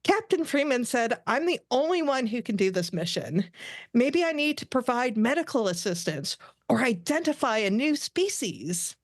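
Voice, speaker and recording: A woman voicing a young alien officer in an amateur read of an animated sci-fi comedy script. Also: a little high, moderate pace, dry recording, studio quality, pitched above the performer's own voice. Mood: hopeful.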